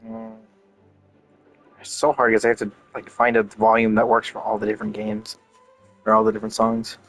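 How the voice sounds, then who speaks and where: A man's voice: on the low side, completely flat delivery, moderate pace, soft background music. A man giving casual gameplay commentary, chatting and thinking aloud in a rambling way. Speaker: game streamer.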